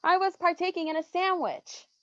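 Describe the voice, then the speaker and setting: A woman's voice: very high-pitched, steady tone, normal speed, fairly bright tone, no room sound. A woman playing a brash, bawdy teenage girl in a mock-Shakespearean staged reading, with playful, theatrical archaic speech. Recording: dull, dry lo-fi sound.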